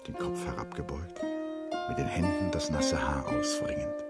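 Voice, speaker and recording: A man narrating a literary radio play dramatically, in measured short phrases with expressive storytelling. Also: deep voice. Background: prominent music.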